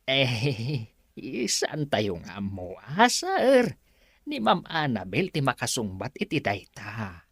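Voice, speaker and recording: A woman's voice: very deep voice, wildly swooping pitch, slow pace, slightly rough, no room sound, heavily acted. A woman with a Filipino accent playing a flustered, outspoken household helper in an Ilocano drama, exclaiming and stammering. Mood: timid, frank.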